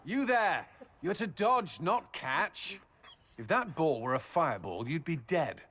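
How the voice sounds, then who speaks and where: An actor playing a gruff but soft-hearted military commander in a video game. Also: male voice, on the high side, very animated, a little slow, slight background noise. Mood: barking orders.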